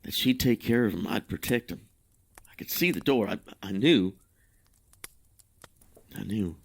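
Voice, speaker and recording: An actor playing a troubled, guilt-ridden husband in an audio drama, recounting a memory in a halting, hesitant, brooding monologue. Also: male voice, lowish voice, animated, breathy edge, no echo, heavily acted. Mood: anxious remembering.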